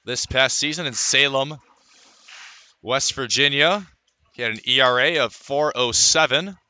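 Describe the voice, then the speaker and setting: A man calling live baseball play-by-play as a radio announcer, filling the gaps between pitches with measured runs of stats. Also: bright, forceful tone, smooth. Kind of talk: live sports play-by-play commentary.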